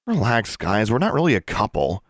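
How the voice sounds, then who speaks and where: A man's voice: on the low side, huge pitch swings, dry recording, studio quality, full character voice. A man voicing a bold, teasing young schoolgirl witch who bosses others about. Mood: bossy. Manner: brisk, teasing, bossy.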